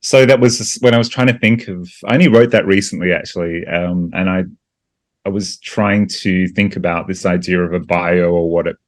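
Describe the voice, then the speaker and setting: Aussie musician guy, really low voice, dry close sound, slow and thoughtful with lots of ums. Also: steady tone, no echo. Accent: mild Australian. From Australia. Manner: reflective and hesitant, many fillers. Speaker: guest musician. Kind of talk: casual interview chat.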